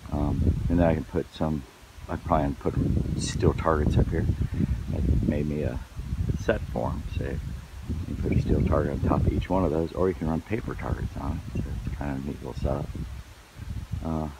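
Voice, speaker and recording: Hoarse middle-aged man, very low voice, casual rambling vlogger, outdoor noise behind him. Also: steady tone, moderate pace. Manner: rambling, lots of fillers and restarts. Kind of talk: casual outdoor vlog narration. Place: outdoors on wooded property.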